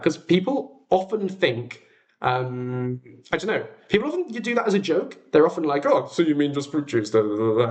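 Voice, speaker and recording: A man in his twenties with an English accent, rambling casually to a livestream chat in a chatty, self-deprecating manner. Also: on the high side. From England. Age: 20s. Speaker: livestream host.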